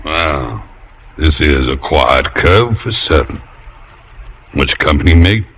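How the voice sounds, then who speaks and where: An actor playing a menacing, sly seafaring stranger in an old radio drama, with slow, probing, rough sailor speech. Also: male voice, very deep voice, lively intonation, a bit bright, exaggerated voice. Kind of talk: 1950s radio drama acting. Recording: noisy vintage radio broadcast.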